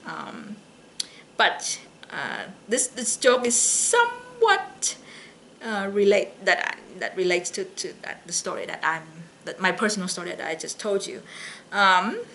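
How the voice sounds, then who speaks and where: Slow, bright-voiced woman telling jokes casually. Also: medium pitch, a little hiss or hum. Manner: halting, chatty, with false starts and slips. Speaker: library story and joke reader. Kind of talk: casual read-aloud of jokes with personal chat.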